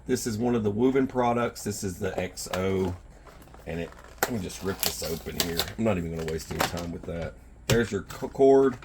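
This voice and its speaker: A man hosting a gear video, talking to viewers in an informal, rambling, folksy way with a rough, husky voice. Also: low voice, expressive, fairly quick, background noise. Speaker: survival gear video host. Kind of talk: casual product showcase talk to viewers. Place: indoors in a house.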